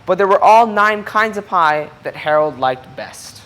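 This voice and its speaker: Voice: medium pitch, animated, measured pace, fairly bright tone, a little hiss or hum. A person reading a children's picture book aloud expressively, explaining things and putting questions to young listeners. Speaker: storybook reader.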